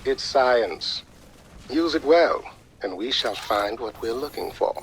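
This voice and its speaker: A man's voice: medium pitch, expressive, a little hiss or hum, rough recording. A man playing a precise, unsentimental scientist advisor in a video game, speaking formally and matter-of-factly. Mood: calm, dry. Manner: formal, measured, matter-of-fact. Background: music.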